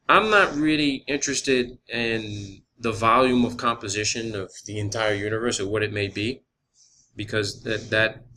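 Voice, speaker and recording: Guy on a phone call asking sciencey stuff, kinda halting, sharp lowish voice, some background noise. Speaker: caller, student researcher. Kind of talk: phone inquiry, explaining and questioning. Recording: noisy phone call recording.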